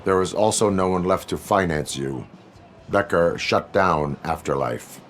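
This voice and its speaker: An actor voicing a stoic, righteous cyborg police officer in a video game, terse, measured and deadpan. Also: male voice, low-pitched, a little hiss or hum. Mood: grim. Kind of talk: dramatic video game cutscene acting.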